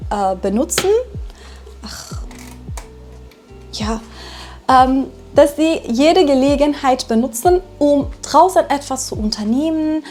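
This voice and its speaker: A woman from Iran, a German teacher, speaking slowly and clearly in a vlog for learners and often checking in with tag questions. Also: sits high. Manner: deliberate, with frequent tag questions.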